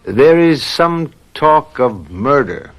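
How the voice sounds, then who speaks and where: An actor playing a sly, patient police inspector in a radio mystery, probing in a measured, falsely casual way. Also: male voice, mid-range voice, big pitch swings. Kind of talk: old radio mystery acting.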